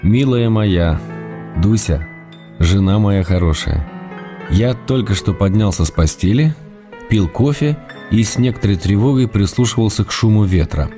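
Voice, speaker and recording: A man's voice: fairly low pitch, animated, moderate pace, dark tone, soft background music, lightly acted. An actor playing an affectionate, slightly weary husband in a radio play, reading out his letters in an intimate, restrained, tender way. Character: affectionate husband and writer, gentle, weary, fond. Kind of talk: quiet dramatic reading of letters.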